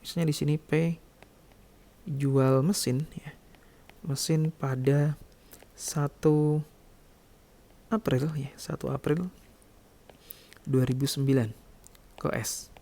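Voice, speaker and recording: Gravelly, mellow male lecturer. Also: on the high side, steady tone, slow, long pauses. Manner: instructional, repeatedly checking with short tag words. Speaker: accounting lecturer. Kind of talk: step-by-step tutorial explanation.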